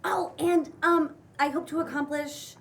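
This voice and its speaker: Woman acting high-voiced, hesitant anxious young newcomer. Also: steady tone, gravelly, a little hiss or hum. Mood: nervous, eager. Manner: hesitant, halting. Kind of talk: table read of a pilot script.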